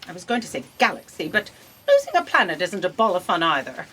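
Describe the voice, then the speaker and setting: A woman's voice: fairly low pitch, sing-song, fast talker, bright, forceful tone, slightly rough, heavily acted. A woman playing an imperious, impatient boss in a sci-fi audio comedy, commanding and exasperated. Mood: dry, disapproving. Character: imperious, impatient female space boss, editor of destiny.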